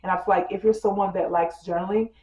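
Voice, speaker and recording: A woman's voice: medium pitch, steady tone, quick pace, a little hiss or hum. A woman vlogger giving a casual tutorial, chatty and full of fillers, a bit congested from a cold. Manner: addresses viewers as "girl" and "you guys". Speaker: lifestyle vlogger. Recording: dull roomy recording with soft music.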